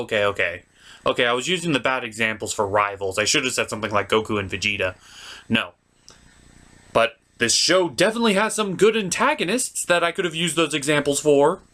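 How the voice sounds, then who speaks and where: A man's voice: fairly high pitch, bright, forceful tone. A man hosting a review show, giving informal scripted commentary in an opinionated, conversational way with asides to the viewer. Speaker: host of a cartoon review show.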